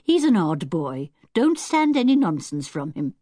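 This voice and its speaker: A woman's voice: lowish voice, sing-song, measured pace, dark tone, dry recording, studio quality. Woman acting a genteel, fretful British older lady. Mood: fondly amused. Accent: British English. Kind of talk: children's audiobook character acting.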